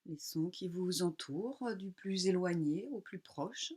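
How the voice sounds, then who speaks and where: A woman teaching yoga nidra, guiding a relaxation meditation calmly in short, unhurried phrases with pauses. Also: lowish voice, slightly rough.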